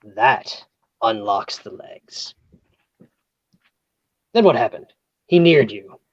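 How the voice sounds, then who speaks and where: Woman playing a husky, theatrical nosy neighbour. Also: extremely low pitch, animated, takes it slowly, darkish tone, dry recording, heavily acted. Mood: thrilled, breathless. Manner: theatrical, breathlessly prying. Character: nosy, motherly, romance-hungry female neighbour and confidante. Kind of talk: comic play table read. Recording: dull lo-fi, close dry sound.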